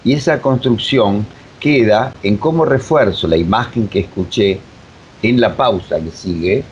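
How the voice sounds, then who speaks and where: A mature man with an Argentine accent explaining reflectively as an interview guest, with emphatic repetition and deliberate pauses. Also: fairly low pitch. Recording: dull lo-fi sound, faint noise.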